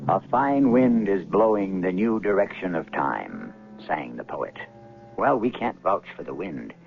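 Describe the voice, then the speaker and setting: A man hosting and narrating a 1970s radio drama, theatrical and wry, posing rhetorical questions with dramatic pauses. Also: low-pitched, vocal fry, highs cut off. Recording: thin vintage radio broadcast sound. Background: music.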